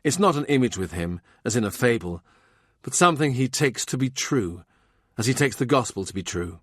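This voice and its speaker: A man reading a historical novel as an audiobook narrator, in a measured, understated literary style. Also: medium pitch, animated, fairly bright tone, breathy edge, dry recording, studio quality.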